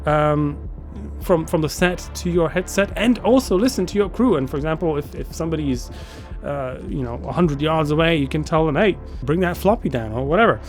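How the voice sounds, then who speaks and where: A man giving a casual, unscripted gear review to camera, enthusiastic but halting, often repeating words and phrases. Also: a little high, faint music behind. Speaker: product reviewer.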